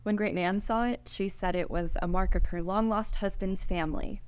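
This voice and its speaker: A woman's voice: mid-range voice, steady tone, normal speed, clear, resonant tone, natural voice. A woman playing a chatty, slightly awkward modern young woman in a screenplay table read. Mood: chatty, sheepish. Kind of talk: casual dialogue in a table read.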